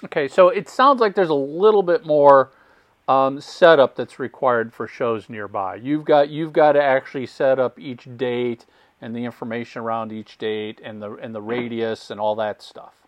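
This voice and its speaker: Host: mid-pitched man, dry close sound, friendly questioning, restarts often. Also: normal speed, no room sound, lo-fi sound. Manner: asks questions with false starts and repeats. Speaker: podcast host. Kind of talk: interviewing a guest.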